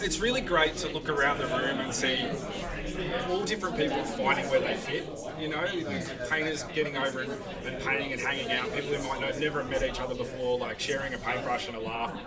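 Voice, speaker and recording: A man hosting a casual interview, relaxed and rambling with lots of fillers. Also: medium pitch, fairly quick. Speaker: host interviewing judges. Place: busy convention hall. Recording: distant mic, loud crowd behind.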